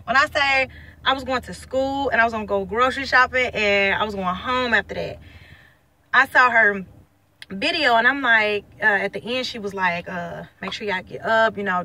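Bright-voiced woman vlogging from a car. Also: fairly high pitch, normal speed. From St. Louis, USA. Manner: blunt, slangy, lively and chatty. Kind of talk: casual selfie vlog. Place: inside a parked car. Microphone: phone held at arm's length.

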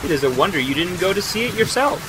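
A man playing a courteous, humble, obliging gentleman in a period audio drama, speaking formally and deferentially. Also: high voice, quick pace, noisy background. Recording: steady rain sound effects behind.

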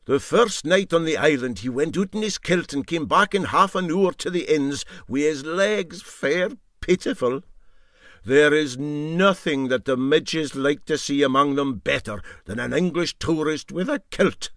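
A man voicing an indignant old Highland boat skipper telling tall tales emphatically and drolly in a Scottish accent. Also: a little slow, a bit bright, dry recording, clean studio sound. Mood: droll, emphatic.

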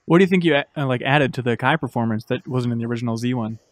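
Guy in his 30s hosting a podcast, talks quick, asks casual questions, mid pitch, super dry mic. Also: dry recording. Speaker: podcast host, interviewer. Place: podcast room with brick wall and shelves, indoors. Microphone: desk studio microphone, close.

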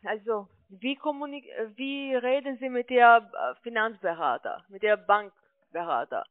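A woman with a foreign accent, a non-native speaker, arguing insistently and haltingly as a call center agent on a phone call. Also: on the high side, expressive, no room sound. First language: not German. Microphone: telephone. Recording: narrow tinny phone line.

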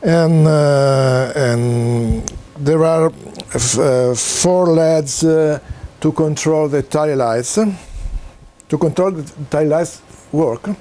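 Slow, halting older man, Italian accent. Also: on the high side, dark tone. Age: 60s. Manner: halting, hesitant non-native English delivery. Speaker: presenter demonstrating homemade audio equipment. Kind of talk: unscripted equipment demonstration. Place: small cluttered home office, indoors. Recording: faint background noise, room ambience.

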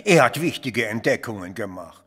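An actor playing a jovial, shrewd army colonel with a Viennese accent, teasing and theatrically self-satisfied, in an audio drama. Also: male voice, mid-range voice, lively intonation, moderate pace, fairly bright tone, husky. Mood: genial, commanding.

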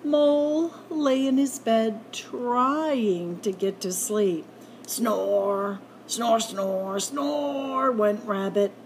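A woman reading a picture book aloud to children in an animated, playful way, drawing out the sound words. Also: a little high, drawn-out words, slight background noise, rough recording. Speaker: library story time reader.

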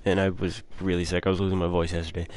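Young guy w a low voice rambling thru a game, reads notes out loud. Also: completely flat delivery, quick pace. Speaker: gamer playing a horror-adventure game. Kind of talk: casual let's play commentary.

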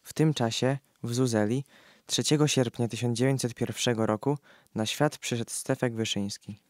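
A man's voice: medium pitch, fairly even intonation, normal speed, dry recording, clean studio sound. A man reading scripted narration in a radio play.

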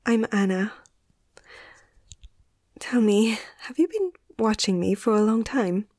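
A young woman playing a caring, slightly awestruck vet in a soft-spoken ASMR roleplay, gentle and hesitant, often slipping into a breathy half-whisper. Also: medium pitch, animated, slow, dry recording, clean studio sound. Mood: gentle, focused. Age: young adult.

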